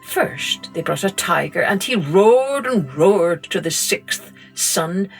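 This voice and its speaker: A middle-aged woman, reading a children's story gently and expressively as a podcast host. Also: fairly low pitch, over music. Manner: gentle, slow and expressive read-aloud.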